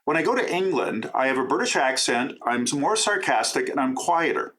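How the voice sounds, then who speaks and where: A middle-aged man from Canada, a professor and author, answering interview questions in a talkative, enthusiastic way with quick asides. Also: mid-range voice, expressive, a bit bright.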